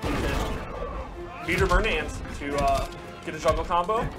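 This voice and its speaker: A man's voice: a little high, measured pace. A man giving an explanatory, instructive gameplay demonstration on a live stream. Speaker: game developer demonstrating moves. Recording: music playing behind the voice. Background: music.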